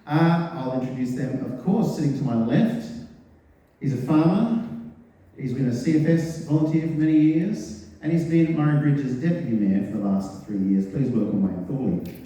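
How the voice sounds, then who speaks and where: A man's voice: medium pitch, normal speed, far from the mic. Man hosting a forum in an echoey hall. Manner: relaxed, friendly introductions. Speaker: forum host. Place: town hall.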